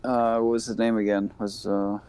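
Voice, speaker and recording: A man with a low, mellow voice plays a tabletop role-playing game. He slips into clipped, military-style lines in character. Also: little pitch movement, normal speed, clean tone. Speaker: player.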